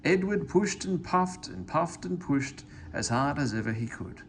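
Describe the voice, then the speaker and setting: A man reading a children's story aloud in an expressive, animated way. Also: fairly high pitch, a little slow, a little hiss or hum. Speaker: storybook reader.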